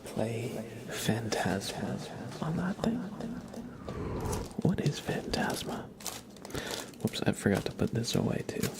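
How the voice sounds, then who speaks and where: A man hosting a live ASMR stream, chatting casually to viewers in a breathy whisper with soft, unhurried asides. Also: mid-range voice, sing-song. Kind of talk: ASMR, casual livestream chat.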